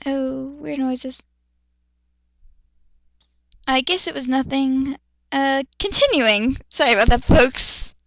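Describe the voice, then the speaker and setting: A woman's voice: sits high, slow pace, gravelly, long pauses, fairly bright tone, no room sound. A woman doing a dramatic reading of fan fiction, with sarcastic, exasperated, foul-mouthed commentary.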